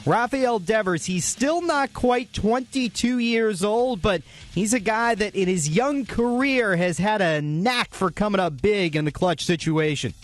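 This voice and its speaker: A man hosting a radio postgame show, recapping the highlights in a brisk, informative, scripted way. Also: slight background noise.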